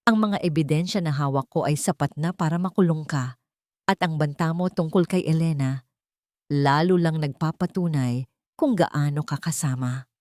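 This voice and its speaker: A woman's voice: low voice, moderate pace, no room sound, natural voice. A woman narrator playing a betrayed wealthy husband in a romantic drama story. He is cold and controlled, with a stern, authoritative manner. The recording is dry. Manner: calm, sharp, authoritative.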